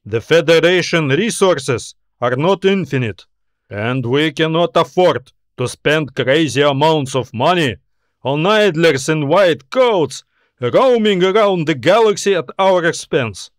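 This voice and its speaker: A fearsome admiral of a space empire, as a man narrating an audiobook puts him on. He is domineering and snide, issuing commands slowly and deliberately in a slightly high male voice. The sound is very dry. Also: expressive, no room sound. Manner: commanding, snide, barking questions. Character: fearsome, irritable, domineering military admiral in science fiction.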